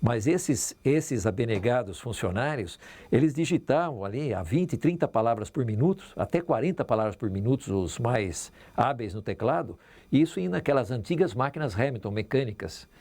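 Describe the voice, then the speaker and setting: A man's voice: medium pitch, animated, normal speed, a little mellow. An older man with a Brazilian accent, explaining and reminiscing as a radio veteran in an interview.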